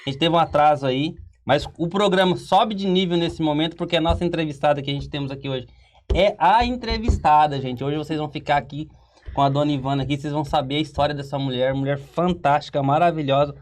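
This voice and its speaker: A man's voice: a little high, fast. A Brazilian man hosting a casual podcast, talking in a playful mock-hype, exaggerated comic way. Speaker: podcast host.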